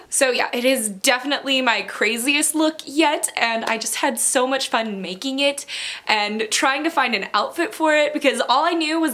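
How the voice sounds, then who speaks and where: Bright, high-voiced woman gushing excitedly. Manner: gushing, excitable, emphatic repetition. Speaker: book vlogger, channel host. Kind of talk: casual chatty vlog to camera.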